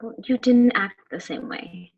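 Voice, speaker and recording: An actress playing a candid, slightly awkward teenage daughter in a sitcom table read over a video call. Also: female voice, on the high side, unhurried, lo-fi sound, natural voice. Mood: apologetic.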